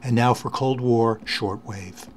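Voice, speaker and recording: A man's voice: medium pitch, takes it slowly, a little hiss or hum. A man giving a spoken introduction as the creator of an audio collage.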